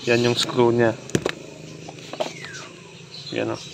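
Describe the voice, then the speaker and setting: A man's voice: medium pitch, extremely slow, gravelly. A man with a Filipino accent casually talks through a hands-on repair tutorial, informal and instructional as he works. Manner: informal, instructional, talking while working. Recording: steady background noise with insect-like chirping.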